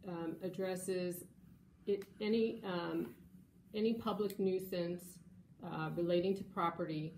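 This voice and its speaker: A woman's voice: fairly low pitch, steady tone, takes it slowly, a little mellow. A woman speaking as a city official at a hearing, explaining procedure in a formal, hesitant way. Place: indoor hearing room. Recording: echoey room, faint background noise.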